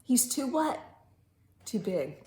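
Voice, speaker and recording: A woman reading a picture book aloud to young children in an animated, teacherly way, pausing to ask simple questions and stretching words for emphasis. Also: on the high side. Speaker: children's storytime reader and teacher. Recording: roomy echo with faint background noise.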